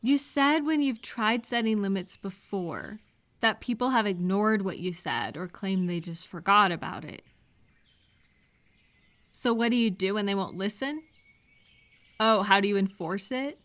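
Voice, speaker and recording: A woman's voice: mid-range voice, animated, measured pace, long pauses, fairly bright tone. A woman speaking conversationally to one listener in a supportive advice roleplay, reassuring and explanatory. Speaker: audio roleplay performer giving supportive advice.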